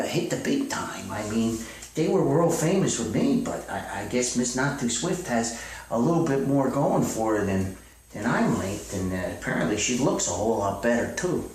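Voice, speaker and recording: A man's voice: mid-range voice, lightly acted. Man playing a flustered hapless author, very echoey. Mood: resigned, crestfallen. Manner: stammering, rambling, repeating himself. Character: self-styled world famous author, hapless, excitable, stubbornly positive sad sack. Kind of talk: one-sided comedy phone call skit. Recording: echoey distant old recording, faint noise.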